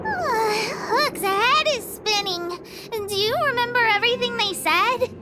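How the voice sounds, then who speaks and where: A woman's voice: very high-pitched, expressive, unhurried, heavy background noise. A woman voicing a bossy, boastful little girl who plays detective leader in a video game, with a self-important way of talking. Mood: confused. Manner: self-important, childishly grandiose.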